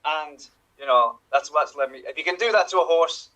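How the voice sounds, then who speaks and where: A man putting on a gruff, bright-toned horse owner with rough, blunt dialect speech. He accuses others plainly and sounds a little distant from the microphone. The voice is lively in character rather than polished. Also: on the high side, moderate pace, dull audio. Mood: accusing.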